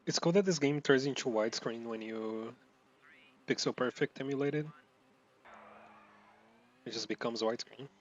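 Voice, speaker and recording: A man's voice: medium pitch, moderate pace, long pauses, muffled recording, a little hiss or hum. Mumbly swearing man from Brazil. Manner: rambling, swears a lot, mumbles words together; loud outbursts at driving mistakes. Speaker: gameplay streamer. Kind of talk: casual gameplay commentary.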